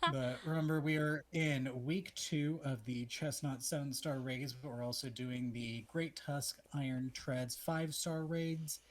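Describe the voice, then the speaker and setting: American podcast co-host, medium pitch. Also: fairly even intonation, a little slow, few pauses. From Texas, United States. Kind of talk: casual chat.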